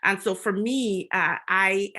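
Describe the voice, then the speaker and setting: A woman's voice: mid-range voice, slow, sharp tone. A middle-aged woman from Nigeria, a physician speaking on a conference panel, talking reflectively with frequent restarts and fillers.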